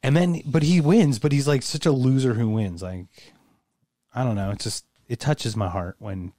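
A man's voice: mid-range voice, moderate pace, dark tone, no echo, studio quality. A man co-hosting a podcast in casual chat, crude and rambling, often laughing as he talks. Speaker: podcast co-host.

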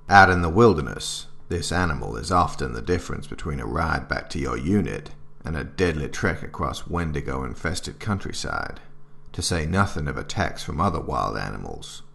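Extremely deep, gravelly male handbook narrator. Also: animated, normal speed, a bit bright. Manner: formal, instructional, grave. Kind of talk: scripted dramatic narration.

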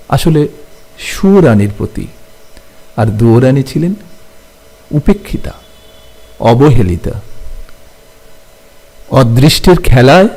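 Slow, mellow-voiced male teacher, higher pitch. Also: rough recording. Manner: didactic, often posing questions to students. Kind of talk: literature lesson explaining a poem to students.

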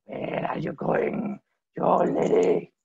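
A woman voicing a hungry, menacing male wolf in a storybook tale, slow and breathy. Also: lowish voice, monotone, dark tone, highs cut off, exaggerated voice.

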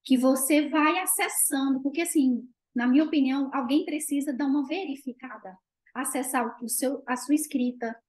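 A middle-aged woman with a Brazilian accent, hosting a conversational video call in a measured, reflective way. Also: high-pitched, a little mellow. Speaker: host. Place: living room indoors. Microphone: video call, webcam at desk distance.

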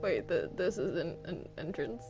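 A woman's voice: lowish voice, big pitch swings, normal speed. A woman chatting casually in roleplay while playing a game with friends, with music behind her. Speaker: gaming video creator playing with friends.

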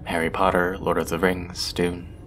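Man playing a low, soothing stranger on a train. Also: completely flat delivery, normal speed. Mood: friendly, charming. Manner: soft, soothing, often drifting into breathy whispers. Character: enchanting male stranger on a train, gentle, charming hypnotist. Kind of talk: ASMR hypnosis roleplay, soft intimate monologue. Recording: loud train rumble behind the voice. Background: train ambience sound effects.

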